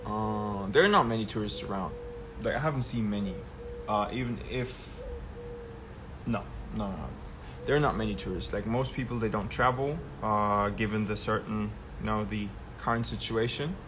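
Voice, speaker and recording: A young man hosting a casual live stream, talking in a relaxed way and often checking in with "right?" and "yeah?". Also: fairly low pitch, moderate pace. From Germany. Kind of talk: casual livestream chat. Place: outdoors at a travel spot. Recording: noisy background ambience.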